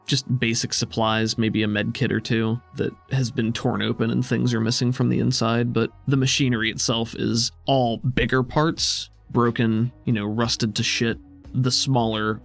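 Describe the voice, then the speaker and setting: A man's voice: medium pitch, gravelly. A man running a tabletop game, improvising descriptive narration in a conversational way. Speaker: game master.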